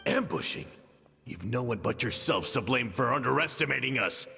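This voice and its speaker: A defiant tribesman in a fantasy game. His voice is heavily gravelly and sharp, dismissive in tone, with long reverb around it. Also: mid-range voice, lively intonation, moderate pace, faint music behind. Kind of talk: dramatic game dialogue acting.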